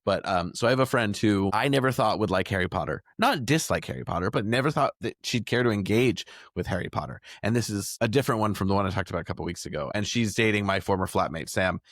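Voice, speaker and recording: A man with a fairly low voice chats casually as a podcast co-host. The sound is dry, with very little room around it. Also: fast, hardly any pauses, fairly bright tone, no room sound. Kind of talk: casual chat.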